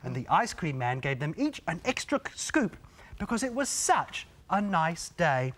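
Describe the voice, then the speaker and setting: A man reading a children's storybook aloud in a theatrical way, drawing out phrases and using dramatic pauses. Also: fairly high pitch, big pitch swings. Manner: theatrical, with drawn-out phrases, gasps and dramatic hushes. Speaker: storybook reader.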